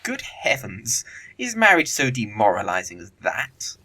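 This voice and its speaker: Male actor as flippant young English dandy for Victorian comedy audio play: lively, swooping delivery, bright tone, lofty and arch. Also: on the low side, measured pace, slight background noise, exaggerated voice. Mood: dryly lofty. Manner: arch, lofty, affected phrasing. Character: flippant young upper-class English bachelor, witty idle dandy.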